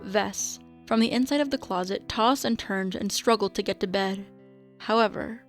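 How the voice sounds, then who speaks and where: A woman's voice: medium pitch, moderate pace, soft background music. A woman reading scripted story narration as the narrator of a radio drama.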